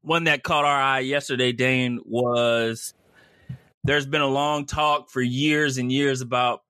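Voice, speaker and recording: A man's voice: mid-range voice, fairly even intonation, takes it slowly, bright, forceful tone, clean tone, dry recording, studio quality. A man co-hosting a casual sports podcast, talking in an easygoing, friendly way. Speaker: podcast co-host.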